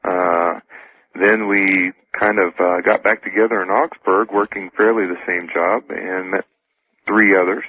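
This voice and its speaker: An American man answering interview questions as a radio guest, husky, polite and matter-of-fact. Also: deep voice, steady tone, unhurried, no room sound. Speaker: radio show guest, ex-soldier. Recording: tinny phone line on radio.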